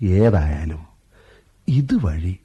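An actor playing a fond, fussy middle-aged husband who talks to himself and mutters in a radio drama. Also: male voice, deep voice, big pitch swings, very slow, highs cut off, background noise, exaggerated voice. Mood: musing. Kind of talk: 1980s-style radio drama acting.

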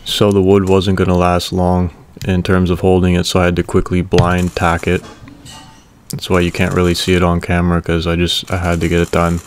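A man casually narrating a welding repair video in a relaxed, matter-of-fact way. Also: deep voice, brisk, a little hiss or hum.

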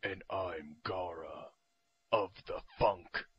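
A low, slow young ninja villain voiced by a man, solemn and menacing. The husky voice sits in a dull recording for a cartoon spoof. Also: exaggerated voice. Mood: solemn menace. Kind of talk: comedic parody dub.

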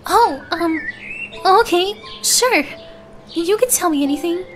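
A woman's voice: extremely high pitch, animated, a little slow, a bit bright, faint music behind, full character voice. A woman voicing a shy, flustered young woman in an ASMR roleplay, speaking hesitantly with bashful stammers. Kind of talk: ASMR roleplay audio drama.

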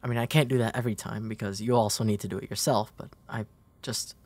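A man acting a gentle, protective big brother in an intimate comfort roleplay audio, tender and hesitant, with a breathy, soft delivery. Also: lowish voice, steady tone, fast talker, close to an everyday voice. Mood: tender, caring. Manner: hesitant, tender, soft-spoken, often dropping into breathy whispers.

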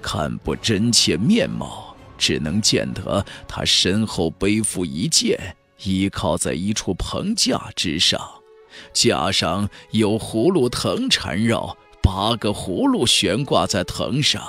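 An ancient clan elder from a fantasy audiobook, acted by a man. He is low and unhurried, reverent and sorrowful. He recalls the past in archaic words, as if lost in a dream, over soft music. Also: wildly swooping pitch, full character voice. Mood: awed, solemn. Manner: slow, dreamy, archaic storytelling. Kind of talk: audiobook character voice in a fantasy novel.

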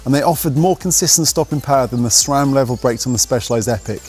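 Man giving a brisk bike review. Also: mid-range voice, darkish tone, husky. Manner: brisk, technical, matter-of-fact. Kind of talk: scripted review narration. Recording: faint music underneath.

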